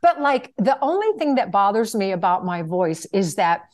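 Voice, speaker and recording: A woman's voice: mid-range voice, big pitch swings, moderate pace, a little mellow. A middle-aged woman from Virginia, a mother chatting with her daughters and laughing easily. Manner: laughing, good-humored. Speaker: podcast co-host, mother. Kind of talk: casual chat.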